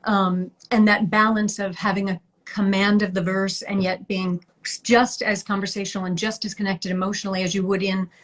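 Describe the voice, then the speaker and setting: A middle-aged woman talks easily in a casual interview, her voice sitting at an ordinary middle pitch. She strings thoughts together with small laughs. The sound comes through a video call. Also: flat delivery, normal speed, hardly any pauses. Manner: chatty, warm laughs between thoughts. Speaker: guest actress.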